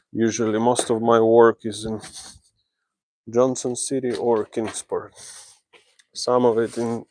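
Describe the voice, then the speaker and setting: Slow, flat-voiced man from Ukraine. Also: mid-range voice, slight background noise, low-quality recording. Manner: slow, halting, explaining step by step. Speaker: renovation contractor. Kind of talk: livestream job-site walkthrough. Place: indoor job site.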